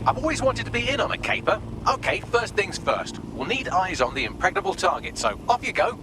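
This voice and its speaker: A man's voice: high-pitched, big pitch swings, a little fast, noisy background. A man voicing a wry, wordy artificial intelligence assistant with a British accent, guiding missions in a video game. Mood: wry, theatrical. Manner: wry, wordy, sardonic, crisp. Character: droll, theatrical male artificial intelligence assistant.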